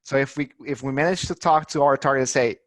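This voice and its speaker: A man's voice: mid-range voice, fast talker, no echo. Brazilian man, eager, searching for words. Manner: eager, repeats words while finding phrasing. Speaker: guest, head of marketing. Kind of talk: business interview.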